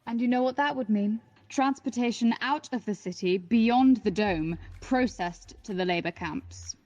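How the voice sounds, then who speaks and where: A woman's voice: on the high side, unhurried, darkish tone, dry recording, highs cut off, natural voice. A woman playing a cold, condescending villainous mother in a dystopian audio drama. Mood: cold, menacing. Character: haughty, cold villainous mother running labour camps.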